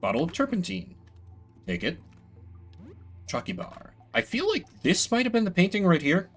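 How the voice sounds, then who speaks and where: A man's voice: high voice, huge pitch swings, normal speed, a bit bright, faint music behind. A man giving casual, rambling commentary as he streams a video game, with playful mock-grandiose asides. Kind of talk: casual gameplay commentary, voicing game text.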